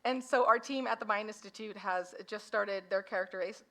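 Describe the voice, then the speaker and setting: A woman's voice: mid-range voice, a little fast, bright, forceful tone. Woman, parent and foundation founder, informal conference talk, chatty and self-deprecating, on a podium mic. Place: conference hall. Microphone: handheld or podium microphone.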